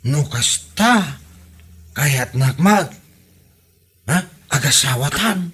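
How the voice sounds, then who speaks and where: A man playing a simple-minded, lovesick grown son with an Ilocano accent in a comedy drama, halting and naive as he speaks. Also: fairly high pitch, animated, a bit bright, husky, full character voice. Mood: bashful, smitten.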